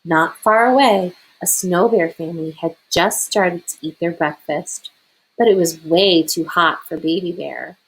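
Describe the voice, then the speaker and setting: A woman reading a children's picture book aloud as a storyteller, expressive and deliberate. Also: fairly low pitch, slightly rough, rough recording.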